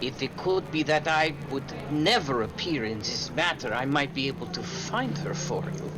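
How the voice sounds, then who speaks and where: A man's voice: medium pitch, normal speed, music in the background, close to an everyday voice, poor audio. An actor playing a sly, genial, mercenary male informant in an old-time style radio drama. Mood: genial, cagey.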